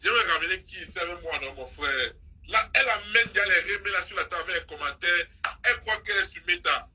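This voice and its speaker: A woman's voice: fairly low pitch, fast, sharp tone, slight background noise, poor audio. A woman hosting a live stream, scolding and mocking someone in an insistent, repetitive gossip rant. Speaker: social media live host.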